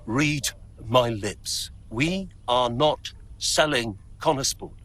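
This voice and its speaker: An actor playing a defiant, curt male team boss in a game cutscene, husky and emphatic. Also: medium pitch, lively intonation, takes it slowly, fairly bright tone. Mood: defiant, firm. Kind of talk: dramatic video game cutscene acting.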